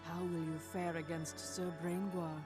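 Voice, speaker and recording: An actress playing a haughty villainess in a video game cutscene, taunting intruders with mock courtesy. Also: female voice, lowish voice, level tone, moderate pace, close to an everyday voice. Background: game music.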